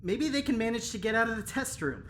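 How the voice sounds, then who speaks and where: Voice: mid-range voice, animated, quick pace, a bit bright, slight background noise, natural voice. An actor playing an anxious, earnest scientist in a sci-fi audio drama, speaking with hesitation and care. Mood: worried. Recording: echoing voice with music behind.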